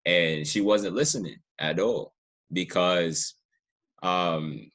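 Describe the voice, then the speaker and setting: A man's voice: sits low, slow, a bit bright. A man hosting a casual interview, talking informally and searching for words as he shares his thoughts. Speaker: podcast host, interviewer.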